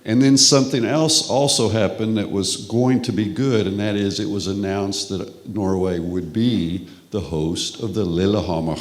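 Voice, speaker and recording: Deep-voiced Texan man lecturing. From Texas. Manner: relaxed, conversational, with frequent restarts. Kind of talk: conference lecture. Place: conference hall.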